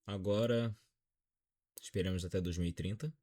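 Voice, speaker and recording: Deep-voiced dejected Brazilian man. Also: dark tone. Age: 20s. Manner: dejected, frank, measured. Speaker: football commentator. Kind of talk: solo opinion vlog on football.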